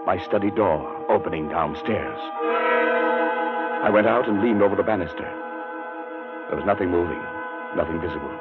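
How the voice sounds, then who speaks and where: An actor playing a haunted, scholarly man, narrating his dread gravely and dramatically in a 1940s radio suspense play. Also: sits low, moderate pace, long pauses, lightly acted. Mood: ominous dread. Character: haunted Edward Dunning, scholarly man gripped by mounting dread. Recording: muffled 1940s radio broadcast, faint music.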